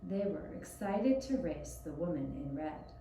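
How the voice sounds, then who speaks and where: Woman storyteller for small children. Soft mellow tone, unhurried delivery, very roomy recording. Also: low voice, animated. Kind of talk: scripted storytelling for young children. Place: echoey room, puppet show performance. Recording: roomy echoing sound with faint hiss.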